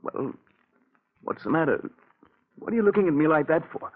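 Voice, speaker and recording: Guilty nervous american husband in an old radio play, husky, very up and down, kinda muffled sound. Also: male voice, fairly high pitch, lively intonation. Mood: agitated. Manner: agitated, melodramatic, halting. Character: anxious, guilt-ridden husband hiding a crime. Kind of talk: 1940s radio acting.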